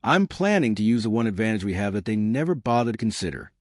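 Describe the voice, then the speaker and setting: A man's voice: on the low side, big pitch swings, quick pace, no room sound, studio quality. A man voicing a small, fierce woman starship captain in a sci-fi story, confident and sarcastic. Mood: cocky.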